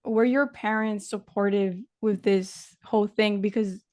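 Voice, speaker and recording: A young woman from Mexico hosts a relaxed podcast chat, rambling through her questions with lots of "like" and false starts. Her voice sits at a middle pitch and sounds close and dry. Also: unhurried, dry recording. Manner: rambling, many fillers and restarts. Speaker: podcast host. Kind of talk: casual interview chat.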